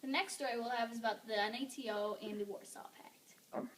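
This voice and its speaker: A woman's voice: on the high side, fairly even intonation, normal speed. A young woman reading a scripted amateur newscast as the anchor. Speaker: newscast anchor in a history project. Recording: distant mic with faint noise.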